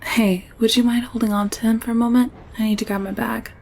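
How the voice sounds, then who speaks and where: Woman acting a friendly, creaky-voiced chatty alien woman. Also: on the high side, little pitch movement, a little fast, a little mellow. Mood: cheerful, teasing. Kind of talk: audio roleplay drama acting.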